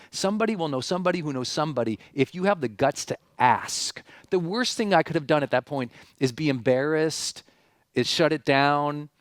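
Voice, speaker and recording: Male seminar host, higher-pitched voice, emphatic motivational delivery with punchy repetition, close dry sound. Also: no echo. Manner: emphatic, repeats phrases for effect, rhetorical questions. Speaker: seminar host and speaker introducing a guest. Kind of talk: motivational stage talk, storytelling and guest introduction.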